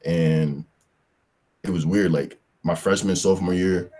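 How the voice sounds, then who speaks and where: Very deep-voiced young man, husky. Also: steady tone, a little slow, dark tone. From Pennsylvania. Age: young adult. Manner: loose, rambling, slangy, lots of "like" fillers. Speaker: football player guest. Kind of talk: casual interview answers.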